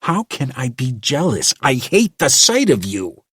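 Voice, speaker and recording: A man's voice: mid-range voice, huge pitch swings, unhurried, a bit bright, slightly rough, no echo, clean studio sound, pitched above the performer's own voice, exaggerated voice. A man doing a growling proud young rebel. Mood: angry. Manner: growling, snarling. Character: angry young hill-born man, proud renegade.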